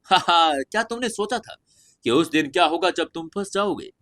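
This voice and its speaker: A man's voice: fairly high pitch, animated, normal speed, a bit bright, voice pushed up. Serpent monster, done by a male narrator: arrogant, grudging, sneering braggart, raised swooping voice, fantasy audio serial.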